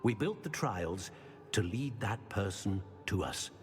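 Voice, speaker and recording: An actor playing a solemn old wizard professor in a fantasy video game, formal and measured, guarding secrets. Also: male voice, lowish voice, expressive. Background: music.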